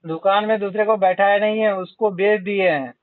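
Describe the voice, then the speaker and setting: A dull, dry phone recording carries a high male voice. He is a customer explaining himself, deferential yet protesting, often saying sir. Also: steady tone, moderate pace, no echo. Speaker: bank customer, borrower. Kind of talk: phone conversation, pleading and explaining. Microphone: telephone call recording.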